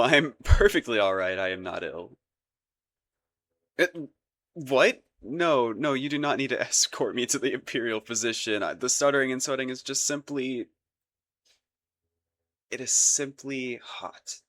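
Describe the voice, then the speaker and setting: A man's voice: mid-range voice, a bit bright. Man acting a flustered, lovestruck young crown prince. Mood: embarrassed, panicky. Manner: stammering, nervous, rambling, formal courtly wording. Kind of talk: romantic ASMR-style audio roleplay.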